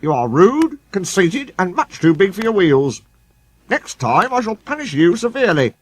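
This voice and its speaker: A man's voice: high-pitched, sing-song, moderate pace, husky, voice pushed up, heavily acted. Man acting a stern, scolding railway controller. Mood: severe. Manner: severe, emphatic.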